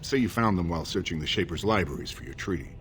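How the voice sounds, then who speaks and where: An actor voicing a scheming, haughty dwarf man in a video game, smooth and condescending. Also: low-pitched, animated, fast, a bit bright. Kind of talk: video game dialogue acting.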